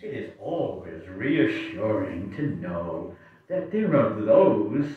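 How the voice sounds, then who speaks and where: A man playing a smug, falsely genial royal brother who loves gambling, in a theatrical, drawn-out and condescending stage reading. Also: lowish voice, big pitch swings. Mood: oily courtesy. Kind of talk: amateur staged dramatic reading. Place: wood-panelled hall, indoors. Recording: distant echoey hall recording, muffled.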